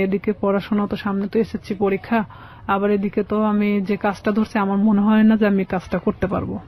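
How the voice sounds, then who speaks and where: A Bangladeshi woman giving personal testimony as an interview guest, in a halting, plain-spoken way. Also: mid-range voice. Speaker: guest telling her life story. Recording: dull, muffled lo-fi sound.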